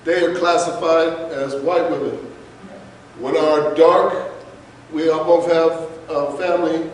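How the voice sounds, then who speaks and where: A man lecturing with passion and emphasis, his voice pitched a little high for a man. He is picked up from far off, and the sound rings through a large, echoey hall. A faint hiss sits under it. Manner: impassioned, emphatic, asks rhetorical questions. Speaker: guest speaker. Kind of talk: lecture to an audience.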